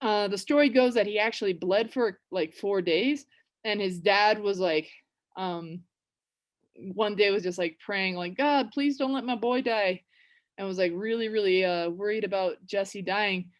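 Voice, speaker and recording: A woman with a West Coast American accent telling and reading a story to language learners in a casual, chatty way, with many fillers and restarts. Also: medium pitch. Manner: reads book passages slowly and clearly. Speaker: teacher reading a children's book. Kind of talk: storytelling lesson for English learners.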